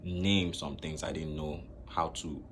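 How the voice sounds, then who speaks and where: A young man from Nigeria speaking to camera in a slow, reflective way, pausing often between phrases. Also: rumbling low, steady tone, a little hiss or hum. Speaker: vlogger. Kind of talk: personal talk to camera.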